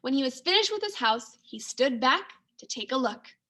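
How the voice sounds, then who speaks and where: A woman teacher reading a children's story aloud in an expressive, clearly paced manner. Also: high voice, normal speed. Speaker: second grade teacher.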